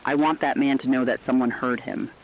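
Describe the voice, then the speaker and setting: A man's voice: mid-range voice, fairly even intonation, a little hiss or hum, lightly acted. Solemn radio journalist played by a man, muffled sound. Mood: grave, resolute. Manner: solemn, earnest, deliberate phrasing. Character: weary, compassionate male veteran radio journalist broadcasting through catastrophe. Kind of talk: scripted dramatic radio monologue.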